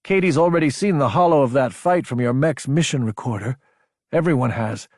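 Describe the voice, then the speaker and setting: A man voicing a female military superior in an audiobook, gentle, understanding and firmly encouraging. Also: mid-range voice, a little fast, dry recording, studio quality, pitched above the performer's own voice, lightly acted. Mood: soft, reassuring. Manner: gentle, reassuring. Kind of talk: audiobook character acting.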